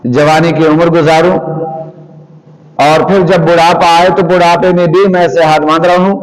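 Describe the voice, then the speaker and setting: Male health-channel host, high voice, very slow delivery, deliberate and repetitive explainer. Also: poor audio. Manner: deliberate, repetitive, phrase by phrase with rhetorical questions. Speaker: herbal healer hosting a health channel. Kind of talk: explanatory health talk to viewers.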